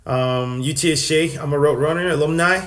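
A man's voice: a little high, fairly quick, a bit bright. Earnest young man from Texas. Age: young adult. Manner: earnest, many fillers and restarts. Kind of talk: casual testimony sharing.

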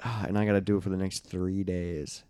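A man's voice: low voice, little pitch movement, fairly quick, mellow, clear, resonant tone, dry recording. A young man co-hosting a podcast in casual chat, voice scratchy and close to giving out, rambling and swearing a lot. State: scratchy, near losing his voice. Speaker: podcast co-host.

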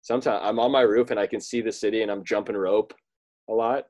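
A young man with a somewhat low voice hosts a loose, joking podcast chat over a video call. He rambles through the plot with plenty of laughs and jokes. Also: steady tone, fast talker, a little mellow. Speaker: podcast host. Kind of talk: casual chat recapping a movie.

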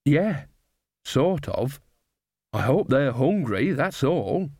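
A man's voice: mid-range voice, big pitch swings, takes it slowly, darkish tone, husky, no room sound, studio quality, full character voice. A man voicing an earthy old woman, a practical, wry witch who talks bluntly and colloquially in an audiobook. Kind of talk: audiobook character voice.

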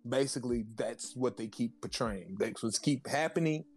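A man in casual podcast chat, animated and slangy, who keeps checking in with his listeners and laughs between points. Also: mid-range voice, normal speed.

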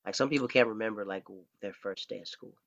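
A man's voice: on the low side, quick pace, gravelly, fairly bright tone. A man interviewing someone in casual conversation, rambling and restarting his questions. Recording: dull lo-fi recording.

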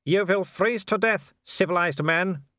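A gleeful, cruel werewolf leader, put on by a male audiobook reader, calls out in a high, strained voice with an odd, altered tone. He stretches his taunts out slowly and loudly, as if calling across snow. The recording is close and dry. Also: no echo. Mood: taunting.

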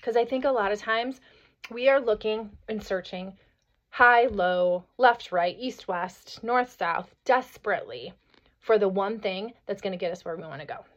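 A woman in her thirties or forties hosting a motivational podcast, hoarse from a cold, talking energetically and often asking "right?". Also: medium pitch, moderate pace. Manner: energetic, chatty, peppered with "right?" and "like". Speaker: podcast host. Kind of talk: motivational solo podcast talk. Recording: slightly dull lo-fi audio.

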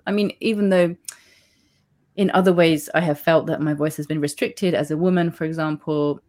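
Low-voiced woman author, thoughtful and measured. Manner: thoughtful, measured, with frequent restarts and soft laughs. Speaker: author being interviewed. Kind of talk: reflective interview conversation. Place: Spain.

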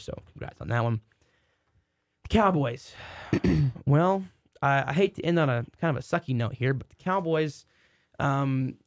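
A hoarse man co-hosting a sports podcast, talking casually and restarting his sentences often. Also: mid-range voice, no room sound, studio quality. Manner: rambling, many restarts. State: hoarse from a cold. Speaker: podcast co-host.

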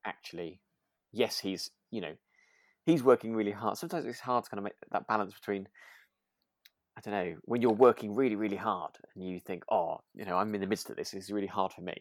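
A woman's voice: extremely low pitch, a little fast, dry recording. A woman speaks in a dry recording with very little room sound. She chats informally as a podcast co-host, agreeable and a little hesitant, feeling out her thoughts as she goes. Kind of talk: relaxed casual chat about a radio drama.

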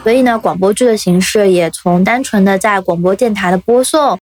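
A woman hosting a podcast in casual chat, animated, giggly and teasing, close on the mic. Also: mid-range voice, a little fast, soft background music. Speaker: podcast host. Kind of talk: casual chat, introducing a guest.

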